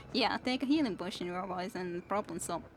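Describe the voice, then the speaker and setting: A young woman streaming a game and chatting with viewers in a soft, musing way; English is not her first language and her voice is worn out from long reading. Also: medium pitch, a little hiss or hum. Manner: soft, musing, self-talking. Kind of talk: casual gameplay chat with viewers. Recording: game music in background.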